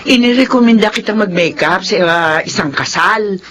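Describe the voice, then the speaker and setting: A woman's voice: mid-range voice, moderate pace, fairly bright tone, slight background noise, natural voice. A Filipino woman playing a bossy but caring older salon owner in a radio drama. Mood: brisk, pleased.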